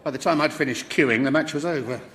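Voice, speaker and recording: A man's voice: mid-range voice, fast talker, a bit bright, natural voice. A man playing a questioning, easily appalled professor among devils in a radio sitcom, precise and incredulous. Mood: curious, dry. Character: appalled, questioning male professor, mortal guest in Hell. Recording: noticeably noisy radio comedy recording.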